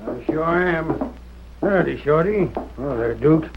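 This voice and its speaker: An actor playing a cocky American outlaw in a 1930s radio Western, with a rough, folksy drawl. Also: male voice, on the high side, expressive, measured pace. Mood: rueful, boastful. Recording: noisy, dull vintage radio recording.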